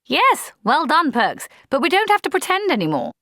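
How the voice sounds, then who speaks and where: A woman's voice: high-pitched, huge pitch swings, moderate pace, sharp tone, dry recording, clean studio sound, voice pushed up, heavily acted. A woman reading aloud, voicing a polite, nervous young male army lieutenant in a storybook. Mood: fretful.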